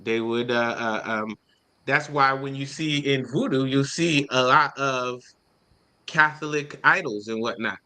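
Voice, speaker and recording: This is a man from Haiti speaking as a guest in a group talk. His voice is bright and forceful at a middling pitch. He explains things in an earnest, steady way. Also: measured pace. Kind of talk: casual group discussion.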